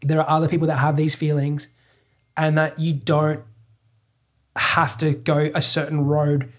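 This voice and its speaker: A man's voice: a little high, completely flat delivery, a little slow. A man in his thirties with an Australian accent, English not his first language, talking openly and reflectively as a podcast guest, often restarting his sentences. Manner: open, reflective, trails off in restarts. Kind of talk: casual chat.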